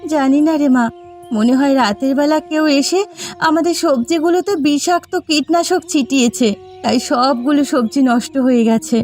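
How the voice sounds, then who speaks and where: A woman's voice: sits high, moderate pace, darkish tone, lightly acted. A woman voicing a distressed village mother who farms vegetables in a cartoon story. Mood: tearful, despairing. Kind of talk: Bengali cartoon voice acting.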